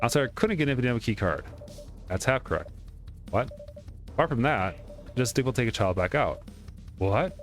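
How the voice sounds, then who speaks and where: A man's voice: medium pitch, lively intonation, brisk, pauses often, soft background music. A man reading video game dialogue aloud as he plays, stumbling now and then and correcting himself. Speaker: let's play streamer.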